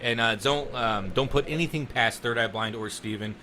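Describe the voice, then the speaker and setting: A man's voice: medium pitch, moderate pace, sharp tone, a little hiss or hum. A man hosting a podcast in a casual, enthusiastic chat, swearing now and then. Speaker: podcast host.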